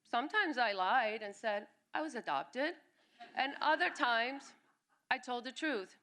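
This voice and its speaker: A woman born in Cuba and raised in New York City, reading her own personal essay aloud at a live event with an expressive delivery. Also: a little high, measured pace. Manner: expressive reading, briefly acting out quoted family members. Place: live reading at a music venue.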